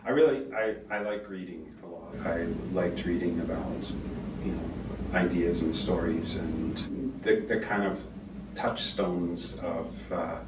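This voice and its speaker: A man's voice: deep voice, measured pace. A man in his fifties, answering interview questions in a thoughtful, hesitant way. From Canada. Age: 50s. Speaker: guest, co-founder. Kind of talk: casual interview. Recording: roomy, distant mic, background noise.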